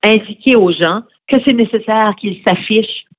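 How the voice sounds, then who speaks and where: A woman's voice: mid-range voice, normal speed, no echo. A middle-aged woman speaking as a radio interview guest, explaining things earnestly with frequent hesitations. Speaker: radio guest, head of a francophone federation. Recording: slightly muffled, dry recording.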